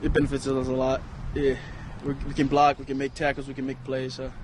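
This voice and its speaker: Brief: young male athlete, flat delivery, traffic noise. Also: medium pitch. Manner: plain, halting speech with repeats. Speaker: college football player. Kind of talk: interview answers.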